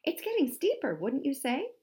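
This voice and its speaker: A woman's voice: medium pitch, sing-song, moderate pace, gravelly, pitched above the performer's own voice. A woman voicing an enthusiastic, earnest and cheerfully naive male polar bear explorer in a storybook. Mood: excited.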